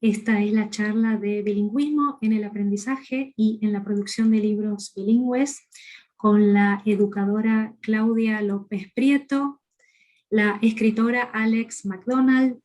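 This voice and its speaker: A woman's voice: mid-range voice, steady tone, moderate pace, a little mellow, low-quality recording. A woman presenting an event, welcoming the panel in a polite, formal way with a few hesitant fillers.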